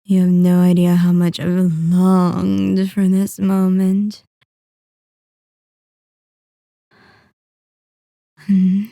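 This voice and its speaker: A woman playing a sadistic, possessive vampire mistress in an ASMR roleplay, speaking softly and breathily with lingering, condescending endearments. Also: on the low side, monotone, slow, creaky, no room sound. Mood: gloating, hungry.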